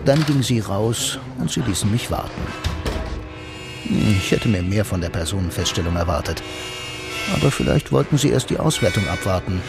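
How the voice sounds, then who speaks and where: Laconic blind man telling his noir story, low voice, dry deadpan, music under it. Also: normal speed, gravelly. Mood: dry, detached. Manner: deadpan, dryly ironic. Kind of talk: scripted first-person radio play narration. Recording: music behind the voice.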